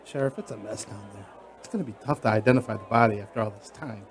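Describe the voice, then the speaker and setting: A man's voice: on the low side, fast talker, faint music behind, a faint crowd, natural voice. An actor playing a matter-of-fact deputy giving his report in a radio drama. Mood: reporting, incredulous.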